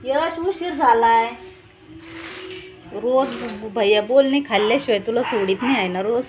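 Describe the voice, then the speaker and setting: A woman's voice: a little high, unhurried, over music. A middle-aged woman, chatting casually at home and giving instructions as a family vlogger. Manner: instructing, chiding. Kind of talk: casual household chat and vlog narration.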